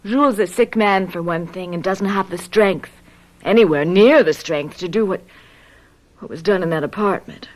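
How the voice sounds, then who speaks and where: An actress playing a shrewd, affectionate young fiancée in a radio drama, coaxing and gently teasing. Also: female voice, lowish voice, moderate pace, lightly acted, low-quality recording. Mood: insistent.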